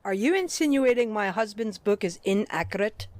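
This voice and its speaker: A woman's voice: mid-range voice, expressive, moderate pace, a little mellow, no room sound, close to an everyday voice. A woman voicing a stern, haughty, easily angered female pony schoolteacher in a fan audio drama. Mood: challenging. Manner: haughty, scolding. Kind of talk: animated-fandom audio drama acting.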